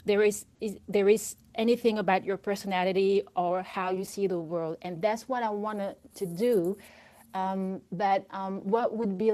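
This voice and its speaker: A woman's voice: mid-range voice, a little mellow. A woman from Belgium with a Belgian French accent, asking a question in a casual audio room, hesitant with many fillers and restarts. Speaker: audience member asking a question.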